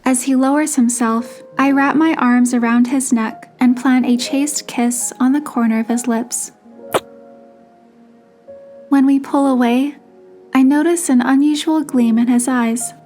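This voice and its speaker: Higher-voiced woman narrating a story over music. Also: fairly even intonation, a little slow, a little mellow. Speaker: narrator and voiced player character of a game story. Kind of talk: scripted dramatic story narration. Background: music behind the voice.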